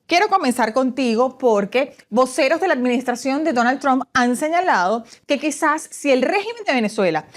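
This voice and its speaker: A woman host moderating a news panel, asking crisp, formal questions. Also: sits high.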